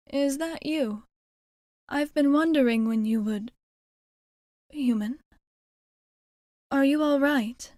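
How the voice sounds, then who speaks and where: A woman playing a courtly, doting vampire bartender in a soft-spoken, partly whispered ASMR roleplay, with formal, genteel phrasing. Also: a little high, no room sound, clean studio sound. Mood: concerned. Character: courtly, doting adult female vampire bartender, long-lived.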